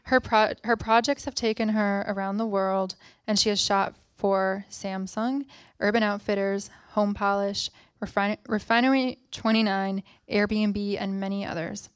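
A woman hosting a live interview on stage, relaxed and chatty with frequent ums. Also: medium pitch, a little slow, clean tone, no room sound, studio quality. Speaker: podcast host.

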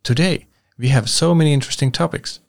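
A man's voice: fairly high pitch, fairly quick, vocal fry. A man co-hosting a tech podcast who reads scripted introductions and asks questions formally. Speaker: podcast co-host.

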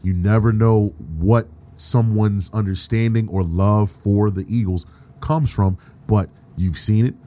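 A man hosting a casual podcast chat, excitable and profane, laughing often. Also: fairly low pitch, a little slow. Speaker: podcast host.

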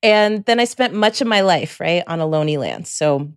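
A woman's voice: fairly low pitch, expressive, moderate pace, clean tone, no echo. Reflective woman host, dry close sound. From San Francisco Bay Area. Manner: reflective, laughs often, frequent "right?" asides. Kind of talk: conversational interview.

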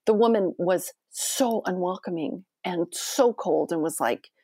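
A woman with a soft voice, a guest telling animated stories in a casual interview. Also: on the low side, unhurried, dry recording, studio quality.

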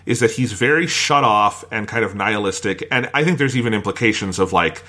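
A man's voice: fairly low pitch, fairly quick, pushed and bright. A man talking casually as a podcast co-host, giving opinionated and blunt reviews.

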